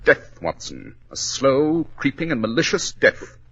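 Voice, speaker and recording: An actor playing a shrewd, confident gentleman detective, precise and formal, in a 1940s radio drama. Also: mid-range voice, very animated, measured pace, husky, exaggerated voice. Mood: keen, assured. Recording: dull old radio recording.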